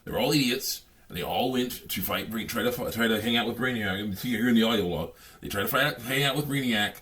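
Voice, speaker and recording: A man's voice: medium pitch, fairly quick, a bit bright. A man hosting a reaction video, commenting casually on game cutscenes in a rambling, repetitive, exasperated way.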